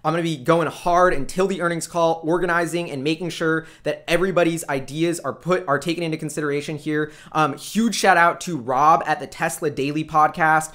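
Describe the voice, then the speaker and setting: A man with a fairly high-pitched voice speaks as the host of an investing channel. He addresses his followers in casual, informal commentary. His manner is earnest and enthusiastic. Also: fairly even intonation, a little fast, hardly any pauses, fairly bright tone.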